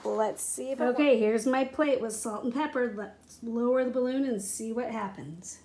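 A middle-aged woman teacher speaking in simple, clear phrases to young children, as in a read-aloud or classroom demonstration. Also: a little high, moderate pace, warm tone. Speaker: teacher making videos for pupils. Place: indoors at home, living room and kitchen. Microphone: device mic at about arm's length.

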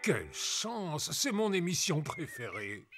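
A jolly, indulgent old grandpa pig in a French cartoon. He talks slowly and theatrically, his pitch leaping about, with music behind him. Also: big pitch swings. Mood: pleased.

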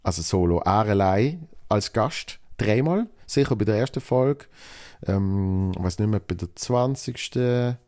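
A man's voice: lowish voice, animated, unhurried, darkish tone. A young man from Switzerland with a Basel Swiss German accent, hosting a solo podcast and talking loosely with many fillers and restarts. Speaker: podcast host. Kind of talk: solo podcast, reading listener mail.

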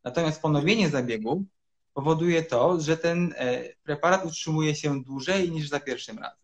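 A man in his thirties answers interview questions as a doctor over a video call. His voice sits a little high for a man. He explains step by step and fills pauses with hesitation sounds. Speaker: urologist guest. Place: home room, indoors.

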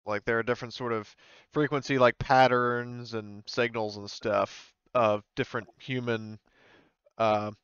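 A man's voice: medium pitch, fairly even intonation, unhurried, fairly bright tone, clear, resonant tone, no room sound. A man casually explaining a tabletop game as game master, in a conversational, hedging way over a muffled online voice call.

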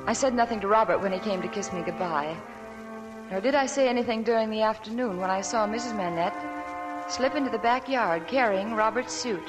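A woman's voice: mid-range voice, fairly quick, lightly acted. An actress playing an anxious young wife who pleads earnestly and narrates her story in flashback, in a 1940s radio drama. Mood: narrating. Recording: old radio broadcast, long reverb, faint music.

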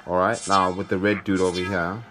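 A man's voice: sits low, flat delivery, brisk. A man hosting a gaming video, commentating casually and thinking aloud in an informal way. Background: game music.

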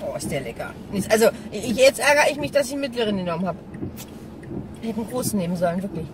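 A woman's voice: mid-range voice, lively intonation, quick pace. A middle-aged woman chats casually and ramblingly to camera as she drives, thinking aloud. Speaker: vlogger. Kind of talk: casual chat to camera while driving. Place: inside a small car. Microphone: dashboard camera at arm's length. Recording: distant mic, loud car driving noise.

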